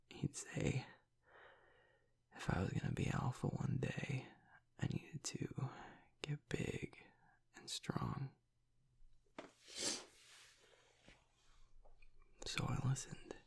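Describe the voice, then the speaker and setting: A man playing a tough young werewolf pack alpha broken by grief in an intimate audio roleplay, halting and choked up, with a breathy, raspy voice. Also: medium pitch, a little mellow, heavily acted. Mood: sorrowful, halting. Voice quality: breathy, raspy whisper with gravelly breaks. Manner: halting, fragmented, swearing under strain. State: choked up, grieving.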